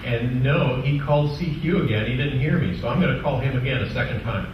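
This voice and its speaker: A man giving an informal technical presentation to a club, explaining a software demonstration step by step in a halting way. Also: mid-range voice, lo-fi sound. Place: indoor club meeting room. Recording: echoey room from far off, clearly noisy.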